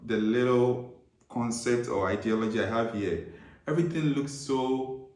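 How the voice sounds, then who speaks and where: A man's voice: mid-range voice, a little slow, a little mellow, echoey room. A man born and raised in Ghana, chatting casually with his wife as co-host of their travel vlog, rambling with restarts and laughing. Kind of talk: casual couple chat.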